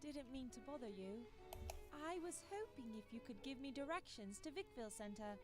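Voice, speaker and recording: A woman's voice: high voice, expressive, normal speed. A woman voicing a polite, awkward young newcomer in a visual novel game, speaking hesitantly. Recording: loud music behind the voice. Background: game music.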